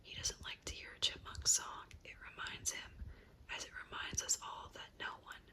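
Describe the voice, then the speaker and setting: A woman narrating an animal bedtime story in a soft, unvoiced whisper. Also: normal speed.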